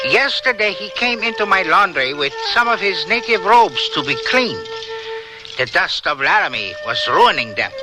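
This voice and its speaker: An actor playing a courteous, reflective Chinese laundry owner, narrating in measured, formal phrases for a radio drama. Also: male voice, sits high, rough recording.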